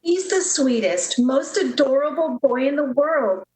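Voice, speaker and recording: A woman acts out a young switchboard operator in love, from a 1930s radio drama. Her voice is fairly high and swoops expressively. She is dreamy, earnest and gushingly romantic. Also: expressive, a little slow, a little mellow, rough recording. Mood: dreamy, excited.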